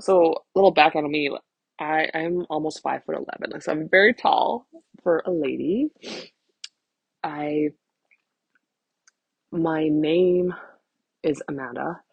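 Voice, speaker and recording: A woman in her thirties speaks casually on a solo podcast, with a husky voice full of vocal fry. She rambles in a frank, self-deprecating way and swears freely. The sound is close and dry. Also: sits low, unhurried, pauses often, no echo, lo-fi sound. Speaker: podcast host, vocal empowerment coach.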